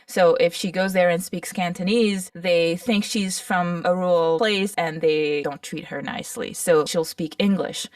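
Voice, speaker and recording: A woman co-hosting a podcast, telling stories in a casual, animated way and laughing often. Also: lowish voice, unhurried, few pauses, dry recording, recorded from a distance. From Mauritian Chinese family background.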